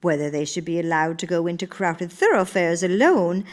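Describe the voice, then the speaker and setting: An actress playing a principled, dignified older in live radio theater, speaking in a measured, formal and earnest way. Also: female voice, on the low side, expressive, natural voice. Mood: thoughtful.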